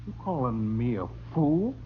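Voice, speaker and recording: An American actor playing a drunken, belligerent frontier tough in an old-time radio drama, loud and goading. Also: male voice, medium pitch, sing-song, a little slow, a little hiss or hum. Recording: muffled old recording with music.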